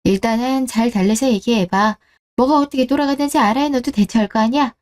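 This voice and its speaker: A woman's voice: a little high, brisk, darkish tone, natural voice. A woman playing a caring, perceptive older father in a scripted radio drama. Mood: probing, reasoning.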